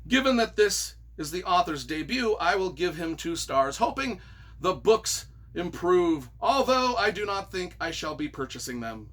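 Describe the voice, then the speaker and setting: A man's voice: high-pitched, sing-song, a little slow, a bit bright. A man reading reviews aloud and reacting off the cuff as their author, with a theatrical, emphatic, mock-exasperated delivery.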